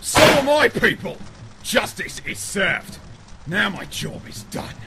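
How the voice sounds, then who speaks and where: Man as a gravelly, vengeful devil villain. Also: high voice, sing-song, slow pace, very noisy, heavily acted. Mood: angry. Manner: menacing, self-righteous. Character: vengeful, bitter male Tasmanian devil villain. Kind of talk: amateur comic dub voice acting. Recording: music loud behind the voice.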